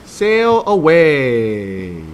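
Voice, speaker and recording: A man's voice: a little high, wildly swooping pitch, extremely slow, a little hiss or hum. A man in his thirties hosting a children's storytime, reading aloud expressively and speaking directly to young listeners.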